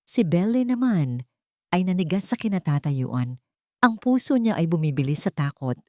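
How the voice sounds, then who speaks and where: Lower-pitched Filipino woman narrating, dry sound. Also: big pitch swings, normal speed, darkish tone, no echo. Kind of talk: scripted dramatic story narration.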